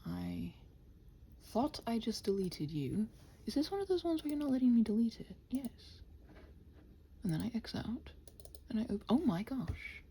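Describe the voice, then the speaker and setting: A woman's voice: on the high side, dark tone, slight background noise. A woman rambling casually to herself in a body-doubling ASMR style, scattered and muttering, often slipping toward a whisper. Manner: playfully talks to the computer and quotes imagined exchanges. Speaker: channel host rambling while working.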